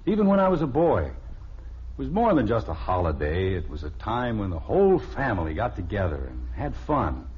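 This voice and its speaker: An American actor playing a middle-aged, soft-hearted but grumbling father in an old radio family comedy. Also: fairly low pitch, wildly swooping pitch, normal speed, husky, exaggerated voice. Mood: wistful, hesitant. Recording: dull, noisy old radio recording.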